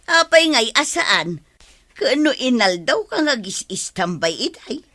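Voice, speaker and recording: A woman's voice: on the high side, very animated, unhurried, sharp tone, full character voice. A woman playing a nosy, opinionated neighbour in an Ilocano radio comedy drama, with an Ilocano accent and exclamatory questioning. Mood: curious, surprised.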